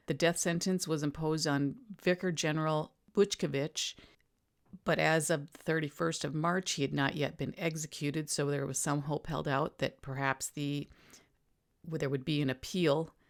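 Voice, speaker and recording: A woman narrator reading old newspaper headlines in a matter-of-fact way, adding informal summaries as she goes. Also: deep voice.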